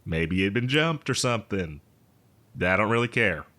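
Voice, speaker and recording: Male actor as eccentric botanist, lowish pitch, very lively intonation, folksy, hesitant, rambling, cheerful, post-apocalyptic audio roleplay. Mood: wry, hesitant. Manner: hesitant, rambling, folksy, with chuckles and drawn-out words. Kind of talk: roleplay audio drama monologue addressed to listener.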